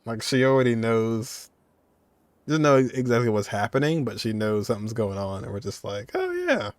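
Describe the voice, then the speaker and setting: A man's voice: mid-range voice, sing-song, moderate pace, dry recording. A man giving casual, slangy playthrough commentary, full of joking asides and mock resignation. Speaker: gaming streamer.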